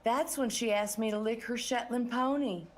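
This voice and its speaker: A woman's voice: a little high, moderate pace, close to an everyday voice. A woman playing a flirty, ditzy, put-upon bakery bookkeeper in a comedic audio drama, coy in manner.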